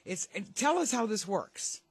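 A woman's voice: mid-range voice, big pitch swings, measured pace, breathy edge, no room sound, studio quality. A woman hosting a radio show in a chatty, informal conversational style while getting over a cold. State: has a lingering cold. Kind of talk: chatty sports radio interviewing.

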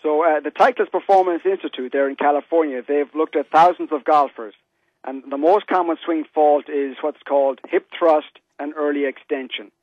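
A man's voice: fairly high pitch, fairly even intonation, normal speed, no room sound. A man with an Irish accent, an interview guest explaining things conversationally over a muffled telephone line. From Ireland. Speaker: interview guest, golf fitness entrepreneur. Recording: dull telephone line on radio.

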